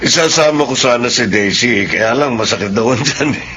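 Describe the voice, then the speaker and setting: An actor playing a proud, generous middle-aged uncle in a radio drama, hearty and encouraging. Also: male voice, medium pitch, moderate pace, pushed and bright, close to an everyday voice. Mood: easygoing. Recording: dull, noisy radio drama audio.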